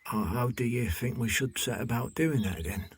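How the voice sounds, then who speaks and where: Man as a husky young workman. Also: medium pitch, normal speed, natural voice. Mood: hearty, respectful. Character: hearty, deferential young rustic carpenter. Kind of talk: audio drama acting.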